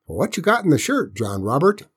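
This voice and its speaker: A man's voice: huge pitch swings, fairly quick, fairly bright tone, breathy edge, heavily acted. A man voicing a folksy, conspiratorial old country grandfather with a rural Southern US accent for a story. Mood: curious, teasing. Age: older. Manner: drawling folksy dialect, pitched up from the narration. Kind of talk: storybook character voice in narration.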